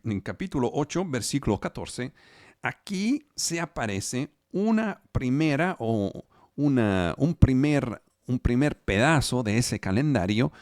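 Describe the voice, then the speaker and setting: Slow, lively-pitched non-native preacher. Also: male voice, dry recording, clean studio sound. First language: not Spanish. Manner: slow, halting, repeats words while searching for phrasing. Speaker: pastor. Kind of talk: sermon. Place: home studio for a live online service.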